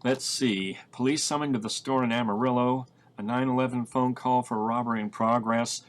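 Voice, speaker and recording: Audiobook role: male reader as guileless, wisecracking man on the run, middle register, quick to protest. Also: medium pitch, steady tone, lightly acted. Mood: wry.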